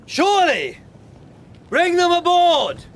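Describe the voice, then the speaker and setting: An actor playing a courteous, earnest and ambitious polar explorer captain in a dramatised audiobook, speaking formally. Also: male voice, very high voice, very animated, slow pace, full character voice. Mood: urgent, shouting.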